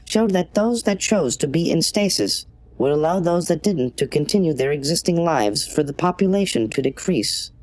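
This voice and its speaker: A man voicing a formal, emotionless artificial intelligence in a sci-fi audio drama, polite and measured. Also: a little high, animated, a little mellow, no echo, natural voice, poor audio. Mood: detached, explanatory. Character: polite, detached trauma-assistance artificial intelligence serving its company.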